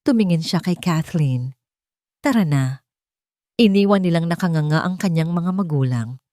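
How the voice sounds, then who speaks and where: A woman's voice: expressive, normal speed, mellow, dry recording, clean studio sound. A woman narrating a romance story in a scripted storytelling style, giving the characters' quoted lines herself. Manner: plays every character herself, shifting pitch for quoted lines.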